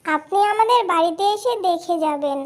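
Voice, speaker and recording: A woman's voice: extremely high pitch, animated, normal speed. A woman voicing an eager, tender-hearted little girl animal in a cartoon fairy tale, earnest and pleading. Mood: earnest, hopeful.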